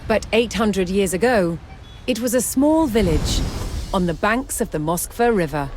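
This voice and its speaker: A woman reads scripted historical narration for a game in a measured, dramatic storytelling manner, with deliberate pauses. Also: medium pitch, expressive, noisy background. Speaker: documentary-style game narrator. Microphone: studio voice-over microphone. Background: music.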